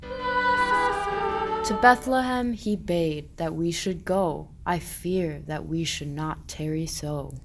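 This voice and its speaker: A woman's voice: lowish voice, very animated, slow, faint music behind, heavily acted. A woman playing a pious, earnest medieval shepherd, declaiming rhymed verse in a radio play.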